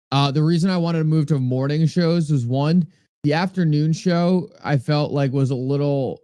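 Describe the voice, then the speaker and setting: A man's voice: medium pitch, darkish tone, smooth, no echo, studio quality. Chatty New England man hosting a livestream. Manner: chatty, reads viewer comments aloud and thanks them by name. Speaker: livestream host. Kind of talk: casual livestream talk answering chat.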